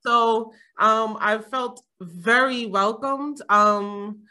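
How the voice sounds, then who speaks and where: A woman's voice: on the high side, very slow, bright, forceful tone. A middle-aged woman from Jamaica chatting casually as a panel guest, rambling with frequent fillers and laughs. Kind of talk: casual conversation.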